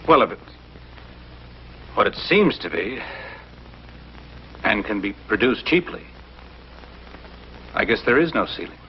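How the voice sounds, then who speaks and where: A man's voice: fairly low pitch, very animated, unhurried, pauses often. A man playing a cautious, plain-spoken industry expert in an old TV drama, giving considered, matter-of-fact opinions. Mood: sober, candid. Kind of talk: mid-century American TV drama acting. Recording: dull, noisy vintage TV soundtrack.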